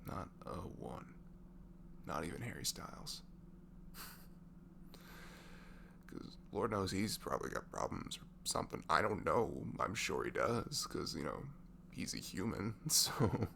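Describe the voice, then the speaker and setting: A man speaking softly and breathily in an improvised, comforting ASMR voice message, rambling affectionately and informally as if to a close friend. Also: sits low, animated, unhurried, creaky, long pauses, a little hiss or hum. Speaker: amateur ASMR audio creator playing a supportive friend.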